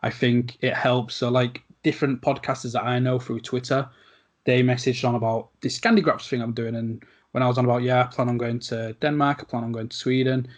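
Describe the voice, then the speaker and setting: Man, podcast interviewer, medium pitch, chatty, joking, lots of "like". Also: steady tone, fairly quick. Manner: chatty, jokey, with repeated "like".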